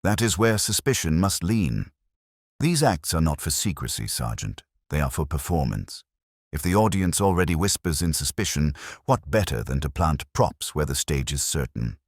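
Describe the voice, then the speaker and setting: A man acts out a shrewd police inspector in a mystery audiobook. The voice is extremely low and husky, with formal, deliberate phrasing and lively rises and falls. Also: no room sound, studio quality, heavily acted. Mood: musing.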